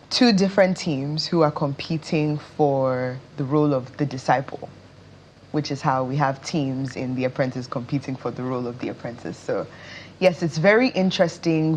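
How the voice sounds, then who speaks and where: A woman's voice: low-pitched, a little mellow, a little hiss or hum. A woman with a Nigerian accent speaking casually as an actress being interviewed on television. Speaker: interview guest, stage actress.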